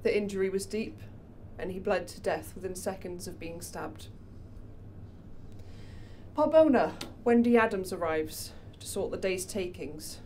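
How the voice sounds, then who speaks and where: A woman's voice: on the low side, measured pace, a little mellow, a little hiss or hum, lightly acted. An actress playing a female police detective giving a methodical, matter-of-fact case summary. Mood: serious. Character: female police detective reporting to her boss.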